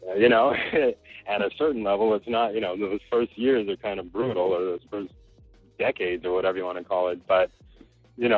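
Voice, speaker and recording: Casting: easygoing male chef, deep husky voice, phone-quality sound, dry. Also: no room sound. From Costa Rica. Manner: easygoing, rambling, many fillers. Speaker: chef being interviewed. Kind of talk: relaxed conversational interview. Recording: tinny phone-like line.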